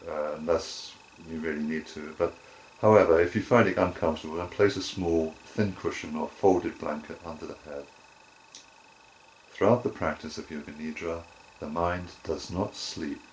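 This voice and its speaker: A man with a deep, low voice gives guided relaxation instruction as a yoga nidra teacher. He speaks in measured, deliberate phrases, pausing briefly between them. There is some faint background noise in the recording. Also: poor audio.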